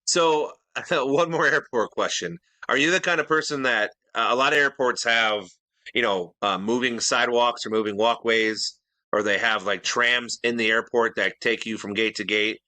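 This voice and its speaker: Bright, dry-sounding easygoing man. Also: medium pitch, normal speed, no echo. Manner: easygoing, asks questions, rambling. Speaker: podcast co-host. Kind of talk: casual chat.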